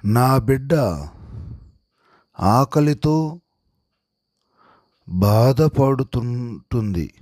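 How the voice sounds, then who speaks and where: A man voicing a gentle mother cow from a moral storybook, pleading slowly in short, pitiful phrases. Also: medium pitch, dry recording, studio quality. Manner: pitiful, imploring, slow.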